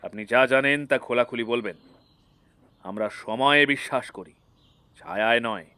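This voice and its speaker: A male actor plays a composed team leader in a thriller audio story. His medium voice is measured and authoritative, with a philosophical seriousness. The sound is close and dry, without room echo. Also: mid-range voice, bright, forceful tone, clean tone, natural voice. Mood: firm, serious. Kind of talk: dramatic audio story acting.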